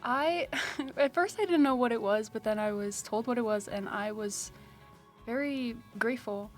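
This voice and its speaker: A teenage girl from South Africa, a high school student, answering interview questions shyly and softly. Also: moderate pace, faint music behind. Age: teens.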